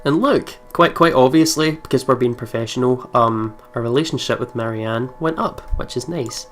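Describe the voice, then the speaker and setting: A man's voice: mid-range voice, normal speed, a bit bright, slight background noise. A man doing let's play commentary and reading a game's text aloud in a chatty, reactive way. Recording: music behind the voice. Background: game music.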